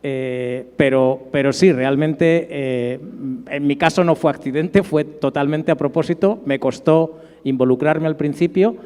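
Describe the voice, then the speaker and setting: Mellow Spanish man in a reverberant hall. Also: on the high side, unhurried, smooth. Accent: Spain. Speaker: conference panelist. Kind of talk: informal personal recollection on a panel.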